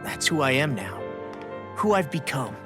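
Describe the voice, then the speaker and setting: A sincere young male fighter from a fantasy game, performed by a man, with a mid-range voice. He sounds loyal and slightly bashful. Music is clearly audible behind his speech. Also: medium pitch, sing-song, normal speed, lightly acted. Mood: sincere, resolute. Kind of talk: video game cutscene voice acting.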